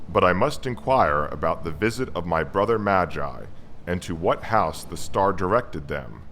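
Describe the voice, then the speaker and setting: Guy acting a devout wise man on a quest, very low voice, formal n solemn, big echoey reverb. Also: a bit bright. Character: Artaban, devout, courteous, weary male Magus seeking a king. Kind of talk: amateur dramatic reading of a Christmas story. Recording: long hall reverb, faint background noise.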